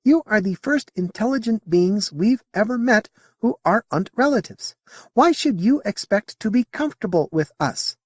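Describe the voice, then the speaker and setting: A man voicing a curious female alien guide who speaks slowly with careful enunciation and formal phrasing. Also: high-pitched, lively intonation, breathy edge, dry recording, studio quality, voice pushed up.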